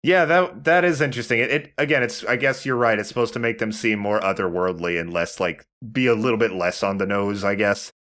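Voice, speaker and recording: A man with a fairly low voice talks casually as a let's play host. His delivery is conversational and halting, as he works out his thoughts about games while speaking. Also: a bit bright. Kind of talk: casual gaming commentary.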